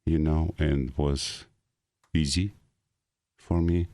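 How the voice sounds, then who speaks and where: A middle-aged man from Russia with an Ossetian mother tongue, speaking halting, Russian-accented English as an interview guest. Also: rumbling low, steady tone, dark tone, no echo, clean studio sound. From North Ossetia, Russia. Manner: halting English with frequent uh pauses. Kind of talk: casual interview.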